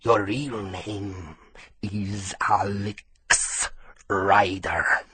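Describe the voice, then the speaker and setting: A man voicing a disfigured male villain who interrogates a boy slowly and coldly, in a husky voice. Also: mid-range voice, fairly bright tone, no echo, exaggerated voice. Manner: slow, drawn-out and cold.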